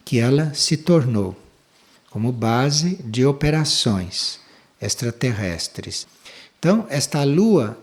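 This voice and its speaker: Slow, measured older man. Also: mid-range voice, darkish tone. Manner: slow, measured, with long pauses. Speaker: spiritual teacher giving a talk. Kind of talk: slow reflective spiritual lecture.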